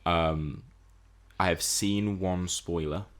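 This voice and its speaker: Male, deep voice. Thoughtful podcast co-host, casual but analytical. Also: steady tone, slow, a bit bright. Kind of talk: casual film and TV discussion.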